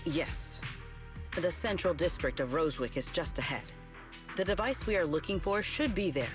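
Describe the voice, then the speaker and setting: A woman voicing a formal, composed young female fighter in a video game, with measured phrasing. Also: on the low side, expressive, close to an everyday voice. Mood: composed, curious. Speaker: game character. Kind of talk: voiced game dialogue. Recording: loud game music behind.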